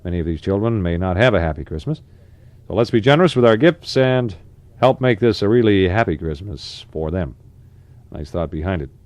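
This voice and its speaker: A man's voice: lowish voice, darkish tone, no echo. An American man working as a 1960s AM radio DJ, reading announcements in a conversational announcer style with short asides. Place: radio studio.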